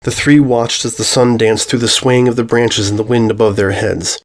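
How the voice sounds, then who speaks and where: A man narrates a written story in a scripted audiobook style. His pitch sits in the middle range for a male voice. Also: fairly even intonation, moderate pace.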